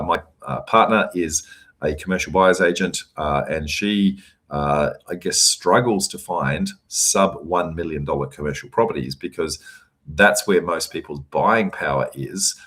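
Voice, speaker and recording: A hoarse man answers audience questions, his voice worn as it starts to give out. He talks in a deep, low register. He leans hard on his points, doubling words for stress between frequent ums and uhs. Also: normal speed, a bit bright. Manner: emphatic, repeats words for stress, frequent fillers. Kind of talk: live Q&A session, casual advice.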